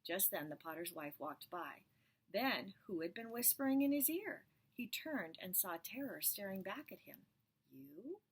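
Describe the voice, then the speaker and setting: A woman reads a picture book aloud in a gentle storytelling manner, stopping now and then to show the pictures. Her voice is a touch husky and breathy, pitched at an ordinary middle height. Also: lively intonation, normal speed.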